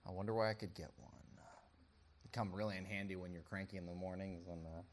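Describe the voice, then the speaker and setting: A flippant, impatient podcast co-host acted by a man. He banters casually in a slightly low voice at a quick pace, like an offhand heckler. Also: little pitch movement, slight background noise, natural voice. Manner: casual, wisecracking.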